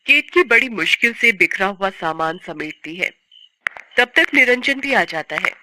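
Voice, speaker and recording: A woman narrating a scripted story as an expressive, sorrowful storyteller. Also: mid-range voice, normal speed, noisy background.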